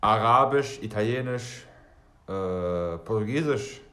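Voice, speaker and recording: Low-voiced man podcasting in an echoey room. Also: slow pace, sharp tone. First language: bilingual German and Serbian. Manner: addresses listeners directly, digresses and rephrases. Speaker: podcast host. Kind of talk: solo podcast talk, conversational.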